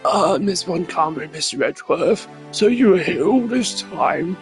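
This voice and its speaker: Man putting on a high-pitched, imperious older ambassador. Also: expressive, moderate pace, faint music behind. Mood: courteous, regretful. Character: courteous but imperious older male foreign ambassador.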